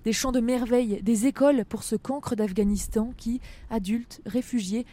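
Female reporter, warm mellow voice, measured scripted narration. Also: a little high, steady tone. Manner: measured, literary.

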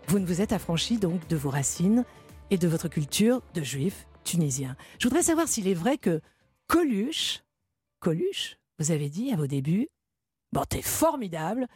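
Husky-voiced woman hosting radio. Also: lowish voice. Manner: polished, warm leading questions, stretched fillers. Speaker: radio host. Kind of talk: radio interview.

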